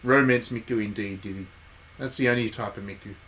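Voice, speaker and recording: A man's voice: on the low side, fairly even intonation, a little fast, a bit bright, slight background noise, poor audio. A man giving chatty, jokey livestream commentary on a game, talking to his viewers. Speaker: game streamer, reviewer. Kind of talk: casual livestream commentary, reading game text aloud.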